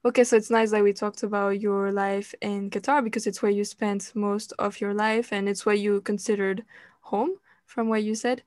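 Flat-voiced woman host, dry close sound. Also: medium pitch, normal speed, dry recording. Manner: attentive, asks questions, frequent "mm-hmm" and "okay". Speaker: podcast host. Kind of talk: casual interview.

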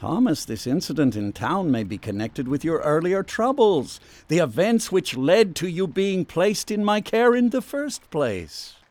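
An actor in a radio drama playing a patient, gently persistent psychiatrist questioning someone. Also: male voice, fairly high pitch, big pitch swings, unhurried, few pauses, no echo. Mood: probing, persistent.

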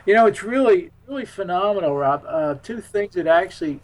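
An American man in his seventies with a fairly high-pitched voice. He talks as an interview guest, reminiscing in a loose, halting ramble. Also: animated, a little mellow. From Philadelphia, United States. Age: 70s. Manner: rambling, halting, chuckles while talking. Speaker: interview guest, UFO witness.

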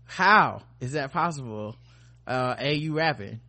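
A man's voice: mid-range voice, lively intonation, measured pace, sharp tone. A man hosting a casual comedy podcast, reacting with incredulous, slangy, profane commentary. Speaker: podcast host.

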